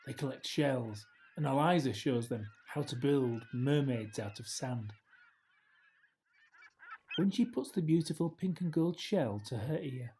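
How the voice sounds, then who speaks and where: A man narrates a children's picture book at a slow, unhurried pace. His voice is mellow and medium-pitched. He reads gently and expressively, sinking into a hush for dramatic effect. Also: slight background noise. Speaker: storybook reader. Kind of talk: scripted children's story narration, read aloud.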